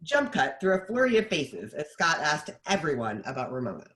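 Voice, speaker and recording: A woman's voice: deep voice, big pitch swings, fairly quick, a bit bright. A woman giving scripted narration, reading out stage directions.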